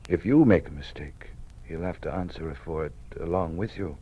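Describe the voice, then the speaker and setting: Low-voiced, weary old college dean. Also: male voice, mellow, slightly rough, lo-fi sound. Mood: cautioning, confiding. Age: older. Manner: measured, formal, fatherly. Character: weary, cautious old male college dean living in fear. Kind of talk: old-time radio drama acting. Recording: noisy vintage radio drama recording.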